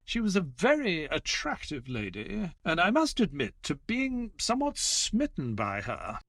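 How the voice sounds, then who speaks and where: A man plays a gentlemanly doctor recounting a case in an audio drama. His voice sits fairly high and swoops widely, yet his phrasing stays formal and measured. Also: huge pitch swings, gravelly, no echo, studio quality, heavily acted. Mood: dramatic narration. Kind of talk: dramatic scripted storytelling in an audio play.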